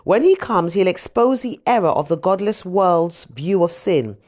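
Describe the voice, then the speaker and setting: A woman reading scripture aloud as scripted audiobook narration, with an expressive storytelling delivery. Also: lowish voice, moderate pace. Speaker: audiobook reader.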